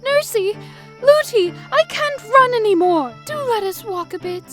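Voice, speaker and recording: A chattering little princess girl, voiced very high by a woman reading a storybook. Her voice leaps up and down with eager, breathless excitement. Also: measured pace, soft background music, full character voice.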